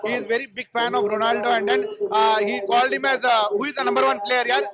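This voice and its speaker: A man's voice: very high voice, level tone, rapid-fire. A man attending with his son, answering hesitantly in English and Telugu. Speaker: parent at event. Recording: busy crowd behind. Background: crowd noise.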